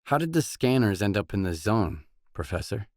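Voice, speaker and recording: An actor playing a gruff, guarded, hard-bitten mercenary in a video game, speaking in terse, blunt, businesslike lines. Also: male voice, fairly low pitch, sing-song, moderate pace, no room sound, studio quality. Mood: inquisitive, guarded.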